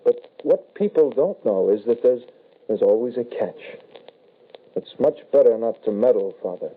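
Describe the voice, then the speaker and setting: An actor playing an undead shapeshifting son, calmly menacing and apologetic, in old-time radio horror style. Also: male voice, mid-range voice, normal speed, close to an everyday voice. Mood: calm reproach. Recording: tinny, band-limited vintage radio broadcast.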